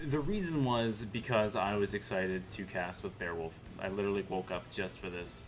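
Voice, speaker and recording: A man's voice: lowish voice, moderate pace, faint music behind, low-quality recording. A young man casting a card game stream in joking, teasing commentary with mock-dramatic asides. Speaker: caster.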